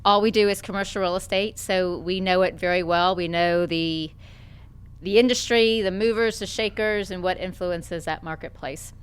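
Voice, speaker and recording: A woman's voice: fairly low pitch, normal speed, smooth. A woman who runs marketing and PR, speaking as a radio guest in a polished, confident, businesslike way. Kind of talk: radio interview.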